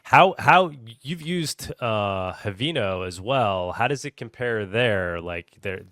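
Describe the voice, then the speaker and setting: Low-voiced male podcast host, halting. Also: lively intonation, fairly bright tone, no room sound, studio quality. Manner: halting, rephrases questions as he goes. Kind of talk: casual interview talk.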